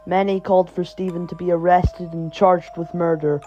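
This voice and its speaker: A man's voice: sits high, flat delivery, normal speed, dark tone. A man reading a scripted horror story as the narrator of an online video. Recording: dull, lo-fi voice track. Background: background music.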